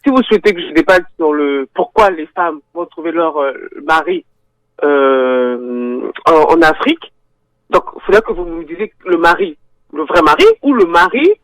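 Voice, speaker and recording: A woman guest on a radio talk show, speaking haltingly with repeated words, then arguing her point firmly. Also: deep voice, no room sound, low-quality recording.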